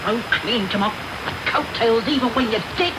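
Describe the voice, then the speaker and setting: Through the static of a taped radio broadcast comes a woman acting a brusque matron of middle age. Her voice is fairly high, and her delivery is curt, snappish and impatient, in the manner of a radio play. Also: moderate pace, lightly acted. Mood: impatient, grasping. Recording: noisy off-air medium-wave radio tape.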